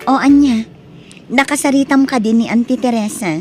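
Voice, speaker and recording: A woman's voice: on the high side, moderate pace, music in the background, close to an everyday voice. A woman playing a mother who keeps questioning her son with doubt. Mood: doubtful. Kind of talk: radio drama acting.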